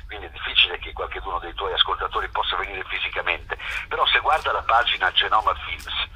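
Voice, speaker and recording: A man's voice: low-pitched, quick pace, sharp tone, slight background noise. A man gives a spontaneous radio interview over the telephone, hesitating and repeating words as he explains. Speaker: festival curator and film producer, interview guest. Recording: harsh, lo-fi telephone radio call.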